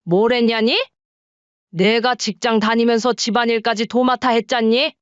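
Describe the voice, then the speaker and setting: A woman playing a gentle, worried mother. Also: fairly high pitch, fairly even intonation, normal speed, clear, resonant tone, no echo, highs cut off, lightly acted. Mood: concerned. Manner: gentle, soothing, concerned. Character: caring middle-aged mother, gentle and worried.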